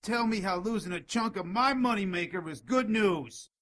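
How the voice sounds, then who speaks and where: An actor playing a whiny, cocky demon informant in an audio drama, indignant, sarcastic and a bit sleazy. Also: male voice, high voice, expressive, normal speed, fairly bright tone.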